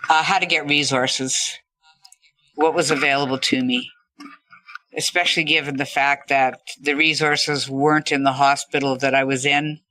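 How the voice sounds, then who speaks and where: A woman's voice: a bass voice, fairly even intonation, normal speed, pushed and bright, no echo, low-quality recording. A woman talking reflectively as a podcast guest, earnest and appreciative. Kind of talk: reflective interview talk.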